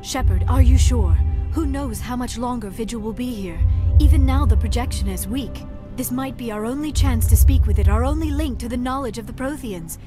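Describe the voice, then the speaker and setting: A woman's voice: on the high side, fairly quick, few pauses, over music, natural voice. A woman playing an earnest, scholarly young alien scientist in a video game, with precise, sensitive delivery. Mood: pleading.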